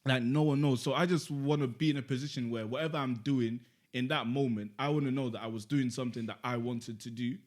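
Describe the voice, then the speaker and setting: A man's voice: mid-range voice, fairly even intonation, fast, few pauses. Young man musing aloud. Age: young adult. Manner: reflective, rambling, full of "like" and "you get me". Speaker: podcast co-host. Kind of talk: casual podcast chat.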